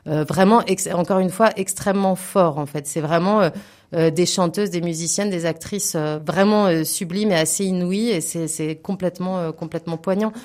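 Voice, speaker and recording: Woman on a radio interview, voice a bit low, keeps searching for words but really earnest. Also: a little mellow. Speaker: interview guest, theatre representative. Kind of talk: spontaneous radio interview conversation.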